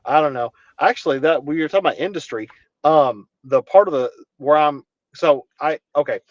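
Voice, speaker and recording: A man's voice: a little high, normal speed, no room sound, highs cut off. Earnest young man from Kentucky. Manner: earnest, rambling with many restarts. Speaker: podcast guest. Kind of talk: casual conversation.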